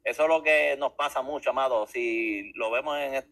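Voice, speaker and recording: A man's voice: medium pitch, moderate pace, fairly bright tone. A man hosting a religious interview, talking conversationally with some preaching. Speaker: host of religious interview show.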